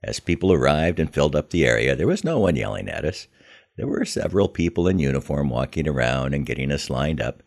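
An older American man telling a scripted first-person story in a measured, reflective manner. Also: extremely low pitch, big pitch swings, vocal fry. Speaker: podcast host telling his memoir.

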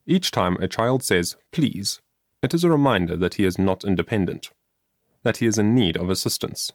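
Low-pitched man narrating an audiobook. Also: expressive, normal speed, no room sound, studio quality. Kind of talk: scripted audiobook narration of an old-fashioned moral essay.

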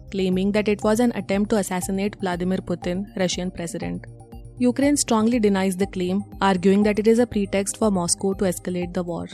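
A woman presenting a daily news bulletin, reading headlines and stories in a steady, measured way. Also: medium pitch. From India.

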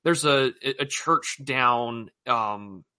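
Sharp-voiced male podcast host. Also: mid-range voice, extremely slow, clear, resonant tone. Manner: digressive, quoting scripture casually. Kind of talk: casual conversation.

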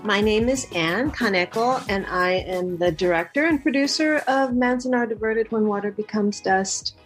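A woman film director speaking in a podcast interview, talking thoughtfully with many pauses, fillers and restarts. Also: medium pitch, soft background music. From Los Angeles.

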